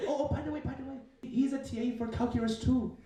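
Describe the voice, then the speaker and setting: A man's voice: very high voice, fairly even intonation, fast talker, heavy reverb, recorded from a distance, heavy background noise, voice pushed up. A young man voicing his nagging, bragging Chinese immigrant mother with a Chinese accent and broken, sing-song English. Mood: boastful.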